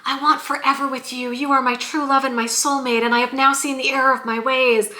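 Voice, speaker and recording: A woman's voice: high-pitched, flat delivery, a little fast, sharp tone, reverberant. A woman doing a syrupy pleading ex-lover. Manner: syrupy, over-sweet promises. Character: sappy, pleading returning ex-lover.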